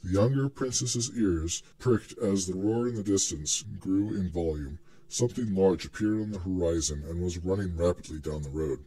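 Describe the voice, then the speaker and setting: Male narrator for scripted fiction, low register with a husky grain. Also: moderate pace, few pauses, warm tone, poor audio.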